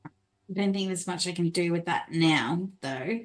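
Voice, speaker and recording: A woman plays a tabletop roleplaying game in a fairly low voice. She speaks slowly and casually, working out her moves aloud with some hesitation.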